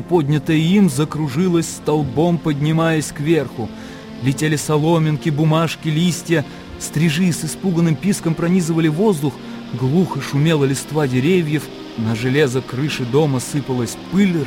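A man narrating a literary radio drama in a dramatic storytelling style. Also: fairly high pitch.

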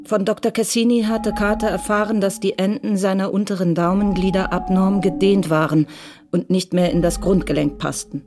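Measured woman narrating over music. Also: medium pitch, fairly even intonation. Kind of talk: scripted narration in a radio drama.

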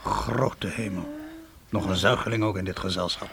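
An actor playing a gruff, commanding frontier trapper in a Dutch radio play, speaking brusquely and urgently. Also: male voice, fairly low pitch, fairly even intonation, normal speed, fairly bright tone. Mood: grave, regretful. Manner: brusque, urgent, giving orders.

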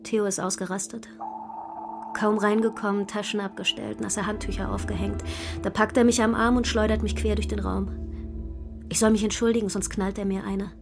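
A mid-pitched actress as a composed woman giving clipped diary entries in a radio play. She is matter-of-fact, with music clearly behind her. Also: level tone, moderate pace, lightly acted. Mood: terse, controlled. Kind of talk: diary narration in radio drama.

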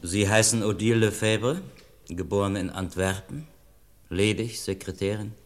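A man playing an authoritative presiding judge in a radio crime play, formal, measured and commanding. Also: lowish voice, fairly even intonation, close to an everyday voice. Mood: formal, official.

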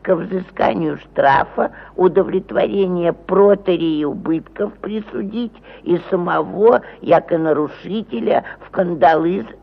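A man's voice: high voice, measured pace, gravelly, hardly any pauses, heavily acted. An actor playing a pompous, aggrieved provincial landowner who ponderously reads out his petition in a theatrical radio play style. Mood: indignant, demanding. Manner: pompous, ponderous, with stumbles over words. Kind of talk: theatrical mid-century radio play acting. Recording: phone-like narrow-band old 1950 radio recording.

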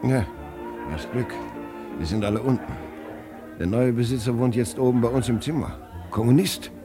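A man's voice: mid-range voice, moderate pace, music in the background, close to an everyday voice. An actor playing an anxious, protective middle-aged father who speaks in short, terse sentences in a German radio play. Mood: guarded, grave. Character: protective, anxious father hiding his worry from his wife.

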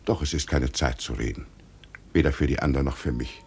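Very deep, creaky, solemn old labourer. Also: male voice, darkish tone. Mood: mysterious, exalted. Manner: weighty, halting, mysterious. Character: solemn, humble ageing farm labourer with grandiose delusions. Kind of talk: 1950s German radio drama acting.

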